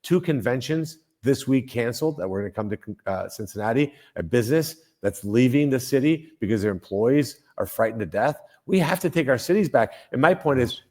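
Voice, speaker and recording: An older man from Colombia, a senator speaking as a guest in a political interview, emphatic, with frequent restarts and repeated phrases. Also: mid-range voice.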